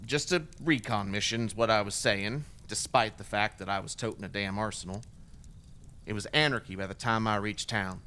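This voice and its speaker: A man's voice: on the low side, moderate pace, bright, forceful tone, clear, resonant tone. An actor playing a remorseful, bitter former extremist gunman giving testimony in an audio drama, in a blunt, wry, profane conversational way. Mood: grim, sardonic.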